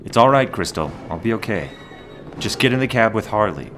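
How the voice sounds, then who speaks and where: A man playing a calm, protective hero in a superhero audio drama. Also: lowish voice, brisk, faint music behind. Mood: reassuring. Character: calm, reassuring, protective adult male hero. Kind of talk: fan-made superhero audio drama acting.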